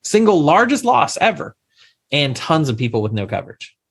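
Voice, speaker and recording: A man's voice: on the high side, sing-song, normal speed, creaky, breathy edge. A man, an insurance attorney, explaining coverage to investors in an emphatic way, often with rhetorical questions, on a podcast interview. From Louisiana, USA.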